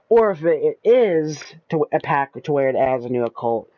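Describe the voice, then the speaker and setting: A man chatting casually about a video game as a YouTuber, rambling and excitable with lots of ums and uhs and some swearing. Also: mid-range voice, dry recording, rough recording. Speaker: gaming YouTuber.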